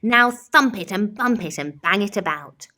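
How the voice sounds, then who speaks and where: A woman voicing an excited, bossy village baker with a bright idea in a children's storybook. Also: medium pitch, moderate pace. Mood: brisk, instructing.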